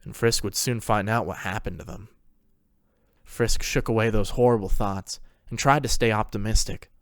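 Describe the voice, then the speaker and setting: A man's voice: on the low side, steady tone, moderate pace, no echo, clean studio sound. A man reading scripted story narration as the narrator of a fan fiction tale.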